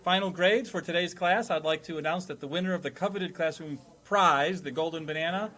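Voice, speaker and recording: A man's voice: a little high, fairly quick, fairly bright tone. A man hosting a comedic classroom quiz in a jokey, mock-formal teacher's manner. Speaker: host playing teacher of a comedy panel game.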